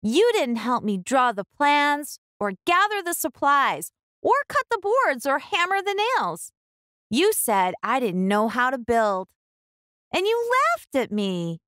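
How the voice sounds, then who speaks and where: A woman's voice: high-pitched, very animated, slow, fairly bright tone, dry recording, studio quality, voice pushed up, heavily acted. A woman voicing a determined, self-reliant little girl in a storybook, with emphatic, sing-song delivery. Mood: indignant, firm.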